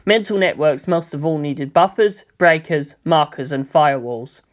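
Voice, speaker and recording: A man's voice: on the high side, normal speed. A man reading scripted fiction aloud as a storyteller, in the style of an audiobook narrator.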